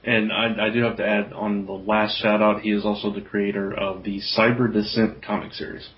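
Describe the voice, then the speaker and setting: A man's voice: lowish voice, flat delivery, a little fast. A man speaking casually as a co-host on a podcast. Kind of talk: casual chat. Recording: dull lo-fi, slightly noisy.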